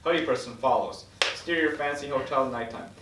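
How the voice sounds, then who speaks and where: A man's voice: mid-range voice, brisk, background noise. A man reading screenplay stage directions aloud as scripted narration at a table read. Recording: roomy echo, distant microphone.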